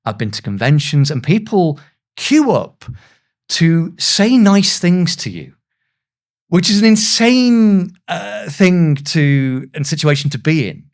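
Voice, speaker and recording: A man's voice: on the high side, animated, slow. Earnest, self-deprecating man in conversation. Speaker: voice actor, podcast guest. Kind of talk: reflective interview conversation.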